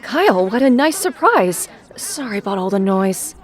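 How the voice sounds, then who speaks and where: A woman's voice: medium pitch, sing-song, moderate pace, faint music behind, close to an everyday voice. A woman playing a gushing, insistent, protective mother of a teenager in an audio drama. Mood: gushing, hospitable.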